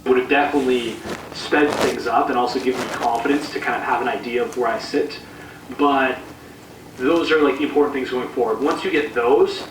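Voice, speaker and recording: Young man, distant and echoey. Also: mid-range voice. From Canada. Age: 20s. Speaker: interview guest, new investor. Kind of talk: casual, unscripted interview. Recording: echoey room, distant mic, faint noise.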